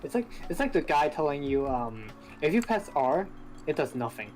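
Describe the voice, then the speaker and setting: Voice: mid-range voice, big pitch swings, normal speed, a little mellow, faint music behind. A co-streamer on a call, chiming in with offhand, laid-back remarks. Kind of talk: casual chat.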